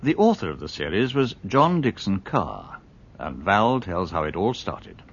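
A male presenter reading scripted links in a low, husky voice that rises and falls lively. The sound is dull, like an old archive broadcast. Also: unhurried. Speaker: documentary presenter. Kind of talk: scripted narration.

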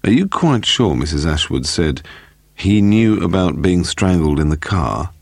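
Gruff police inspector, done by a male reader, irritable, probing, husky, for a crime audiobook. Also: sits low, expressive, measured pace, a little mellow, dry recording, full character voice. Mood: puzzled.

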